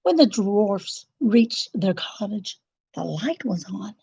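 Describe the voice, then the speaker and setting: Slow, animated woman storyteller. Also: medium pitch, gravelly, slightly rough, no room sound. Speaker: children's storyteller. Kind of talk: expressive scripted storybook narration.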